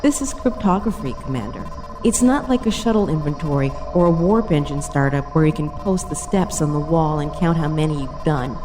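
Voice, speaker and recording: A woman's voice: sits low, fairly quick, background noise, close to an everyday voice. A woman playing a cool, analytical senior starship officer in a sci-fi audio drama, dry and precise in manner. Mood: matter-of-fact. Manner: dry, precise, matter-of-fact.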